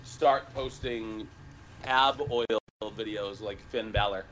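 A man's voice: on the low side, steady tone, takes it slowly, fairly bright tone, a little hiss or hum, low-quality recording. A man who is a guest on a podcast, bantering and joking with the hosts. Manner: laughing, jokey banter. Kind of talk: casual podcast chat.

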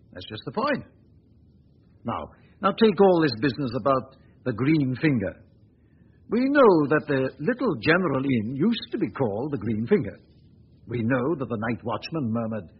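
An actor playing a hesitant, flustered police chief who keeps saying "uh" and clearing his throat, in 1940s radio acting. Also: medium pitch. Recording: dull lo-fi 1940s radio recording.

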